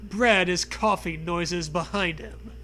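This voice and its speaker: A man's voice: sits high, slow, pushed and bright. A man reading a comic storybook aloud, with casual banter mixed in. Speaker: reader of a comic choose-your-own-adventure book.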